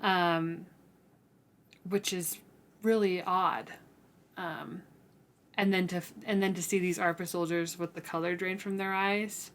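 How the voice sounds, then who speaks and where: A woman's voice: fairly low pitch, steady tone, a little slow, long pauses, a bit bright. A woman co-hosting a book discussion in a casual, tentative way, as if thinking aloud. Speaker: co-host of a book read-along podcast.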